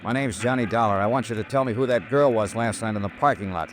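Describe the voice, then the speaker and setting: A man playing a tough, world-weary insurance investigator in a 1950s radio drama, clipped and deadpan. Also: lowish voice, fast talker, a little hiss or hum, lightly acted. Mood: firm, impatient. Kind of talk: 1950s radio detective drama acting and narration.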